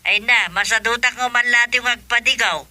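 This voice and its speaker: Woman acting teasing village mother, middle-aged, bright forceful voice, radio comedy. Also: on the high side, a little fast, dry recording. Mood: teasing, chatty.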